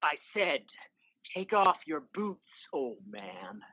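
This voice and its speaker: A man's voice: high-pitched, lively intonation, slow, vocal fry, no room sound, highs cut off, heavily acted. A man playing a menacing, defiant young biker mutated with metal in an audio drama, commanding and taunting.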